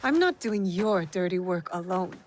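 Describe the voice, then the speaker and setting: A woman's voice: fairly low pitch, animated, moderate pace, slight background noise, lightly acted. An actress playing a resolute, wary female rebel with a dry, no-nonsense manner in a video game cutscene. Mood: dry, annoyed. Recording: music behind the voice. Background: music.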